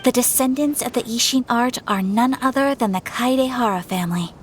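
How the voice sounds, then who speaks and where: A woman playing a poised, courteous young noblewoman in a video game, speaking in a formal, measured, polite way. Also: fairly high pitch, slight background noise, natural voice. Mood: composed, explanatory. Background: music.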